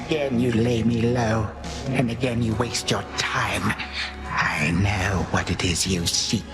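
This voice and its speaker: An actor playing an ancient, cruel Titan in a video game, speaking with formal, condescending menace. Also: male voice, lowish voice, fairly even intonation, takes it slowly. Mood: sinister, defiant. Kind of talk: theatrical scripted villain dialogue. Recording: loud background music.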